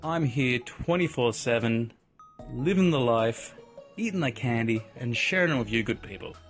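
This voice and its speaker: A man, hosting a casual solo podcast in a chatty, upbeat way with lots of shout-outs and asides. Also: medium pitch, lively intonation, normal speed, soft background music. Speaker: podcast host.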